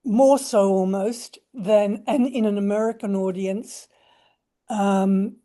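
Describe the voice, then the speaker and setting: A woman posing a long, deliberate question as an audience participant. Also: medium pitch, steady tone, warm tone. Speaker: audience member asking a question.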